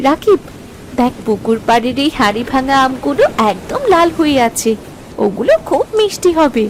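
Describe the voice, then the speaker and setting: A woman's voice: sits high, big pitch swings, normal speed, noisy background. A woman voicing a sensible, cautious young village girl in a cartoon story. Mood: gently teasing.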